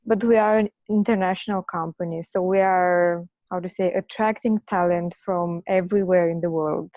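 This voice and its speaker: A woman's voice: on the low side, steady tone, normal speed, mellow, dry recording. A woman, an engineering manager joining a video call, speaking hesitantly and searching for words. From Romania. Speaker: engineering manager, webinar participant. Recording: dull, dry lo-fi video call audio.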